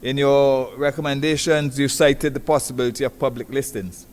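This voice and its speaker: Courteous session chair in a large hall. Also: male voice, medium pitch, fairly even intonation, normal speed. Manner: courteous, formal. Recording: hall reverb with faint noise.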